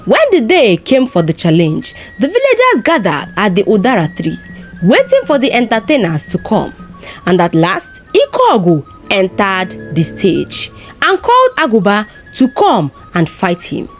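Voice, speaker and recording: A woman with a Nigerian accent telling a folktale in an expressive narrating style. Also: medium pitch, unhurried, soft background music. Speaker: storyteller.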